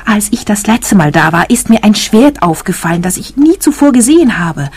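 An actress playing a vehement, indignant woman witness with a husky voice in a radio play. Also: mid-range voice, very animated, moderate pace, darkish tone. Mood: defensive.

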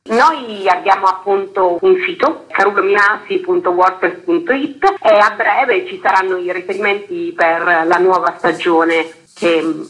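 Bright-voiced woman, earnest interview guest. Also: lowish voice, rough recording. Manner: earnest, wordy, abstract. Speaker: theatre director, interview guest. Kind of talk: reflective radio interview.

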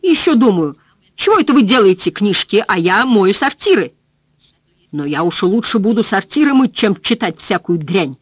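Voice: medium pitch, sing-song, moderate pace, dry recording, studio quality. A reader voicing a blunt, foul-mouthed woman cleaner who exclaims and rants in plain folksy speech. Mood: ranting.

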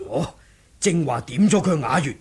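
An actor playing a scheming, bossy wandering monk with a creaky, gravelly voice in an audio drama. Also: male voice, fairly high pitch, expressive, normal speed, darkish tone, exaggerated voice. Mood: urgent, conspiratorial. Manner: bossy, conspiratorial, grunting when playing mute. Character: scheming wandering monk who feigns muteness before others. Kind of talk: Cantonese audio drama acting.

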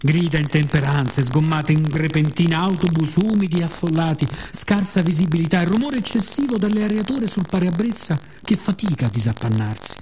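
A man performing a poetic, theatrical radio monologue in a measured, solemn manner with dramatic pauses. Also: fairly high pitch, lively intonation, a little mellow. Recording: faint ambient background noise.

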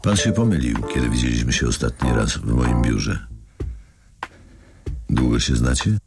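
An actor in a radio drama playing a menacing, philosophical older man who speaks with slow, polite needling. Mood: cold, controlled. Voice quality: very deep gravelly voice. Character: menacing older married man with a grown daughter, coolly philosophical. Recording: studio radio play with music underneath.

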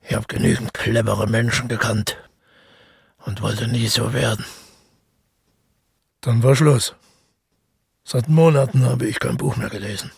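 A male actor playing a brooding, self-doubting former stage actor in a radio drama monologue, musing haltingly in a husky, breathy voice. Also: medium pitch, fairly even intonation, dry recording, studio quality, heavily acted. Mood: troubled confession. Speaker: narrator and lead of a radio play. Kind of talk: intimate first-person radio drama monologue.

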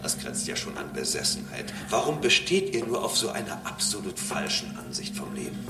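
An actor playing a condescending, devout robot with a formal, measured manner in a German radio drama. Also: male voice, sits low, huge pitch swings, far from the mic, exaggerated voice. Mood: exasperated superiority. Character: robot convinced it serves a higher Lord, condescending and calm. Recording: roomy echo, clear background hiss.

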